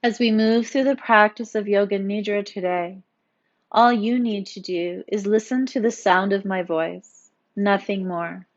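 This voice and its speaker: Female yoga teacher for guided relaxation: soothing, gently instructive, mid-pitched, on slightly muffled lo-fi audio. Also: steady tone, measured pace. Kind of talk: guided meditation narration.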